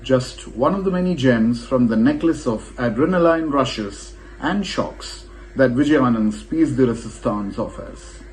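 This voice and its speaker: A man reading a scripted film review as narrator, with expressive, shifting emphasis. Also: mid-range voice, unhurried, hardly any pauses, slight background noise, rough recording.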